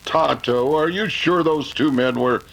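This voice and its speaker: An actor playing an earnest, resolute masked cowboy hero in an old-time radio western. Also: male voice, a little high, a little slow, vocal fry, fairly bright tone, full character voice, poor audio. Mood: grave, regretful.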